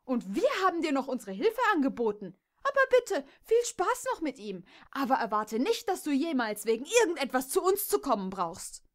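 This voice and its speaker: A woman's voice: high voice, sing-song, normal speed, few pauses, voice pushed up. A woman voicing a spiteful young mare student who speaks bitterly and accusingly.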